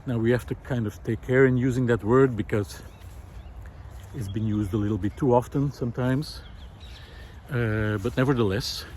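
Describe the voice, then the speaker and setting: A man, musing aloud in a walk-and-talk video. He talks reflectively and often pauses with "uh". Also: mid-range voice, a little mellow, a little hiss or hum. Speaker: video essayist. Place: outdoor park lawn. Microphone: handheld camera while walking.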